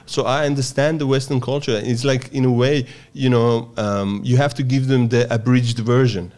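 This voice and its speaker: A man from Iran, a guest on an interview, explaining his practice in a measured way with frequent pauses and fillers. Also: mid-range voice, steady tone, darkish tone. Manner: measured and explanatory, with many hesitations. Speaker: guest, Persian strength and yoga teacher. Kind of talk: thoughtful interview answers.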